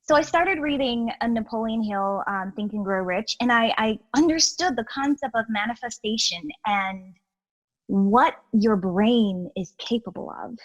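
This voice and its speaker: A woman's voice: fairly high pitch, measured pace, muffled recording. Earnest woman, chatty. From Guyana. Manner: animated and earnest, many "you know"s and restarts. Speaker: guest, healer and educator. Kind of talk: casual interview chat.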